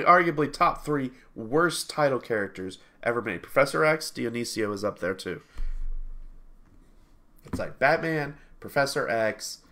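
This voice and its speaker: A man's voice: fairly low pitch, pushed and bright. A man in his thirties, sniffly from allergies, chatting casually over a headset on a video call from a small home room, rambling and correcting himself as he goes. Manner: rambling, self-correcting, laughs easily. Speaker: game reviewer co-host.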